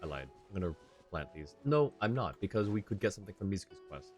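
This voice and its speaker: A male game streamer with a low voice talks casually while he plays. He rambles and laughs often. Quiet music runs underneath. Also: big pitch swings, fast. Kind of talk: casual gameplay chat.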